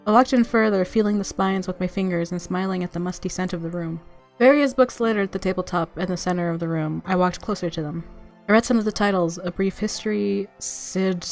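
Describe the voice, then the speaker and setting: A woman's voice: mid-range voice, steady tone, normal speed. A woman reading a visual novel aloud as a gamer, narrating expressively and adding her own playful reactions. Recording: background music under voice.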